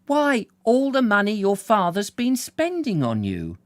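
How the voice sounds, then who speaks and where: A man's voice: wildly swooping pitch, a little slow, heavily acted. A man doing a prim reproachful old lady. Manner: reproachful, high and shaky. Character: prim elderly woman, a doctor's wife.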